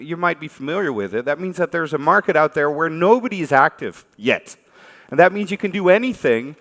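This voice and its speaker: A man's voice: fairly high pitch, fairly bright tone. A man from the Netherlands with a Dutch accent gives an informal conference talk, speaking conversationally and putting questions to his listeners. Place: large conference hall. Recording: reverberant hall stage recording.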